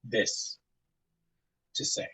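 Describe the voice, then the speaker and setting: An actor playing a grave investigator, speaking formally and deliberately as he questions and narrates. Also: bright, forceful tone, low-quality recording. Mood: solemn. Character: grave, measured male investigator recording testimony of tragedy. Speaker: interviewer and narrator. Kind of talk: solemn dramatic monologue and questioning.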